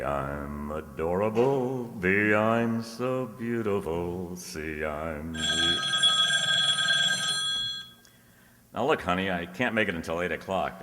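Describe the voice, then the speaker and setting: Man playing a deep-voiced wisecracking private eye. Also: a bit bright. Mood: playfully cocky. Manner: sardonic, wisecracking. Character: wisecracking male private detective, flirtatious, cocky, breezy. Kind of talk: old-time radio detective drama acting. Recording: reverberant hall, stage-like echo.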